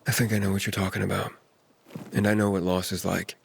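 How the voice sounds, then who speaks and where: An actor playing a guarded, world-weary young wanderer in a video game, gravelly and terse. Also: male voice, sits low, flat delivery, fast talker, a little hiss or hum. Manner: terse, reticent. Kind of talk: naturalistic video game acting.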